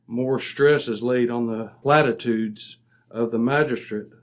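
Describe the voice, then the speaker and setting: Male actor as composed British sleuth, brisk, exact, self-assured, classic radio mystery style. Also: mid-range voice, fairly even intonation, lightly acted. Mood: calm, wry. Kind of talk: old-time radio mystery acting.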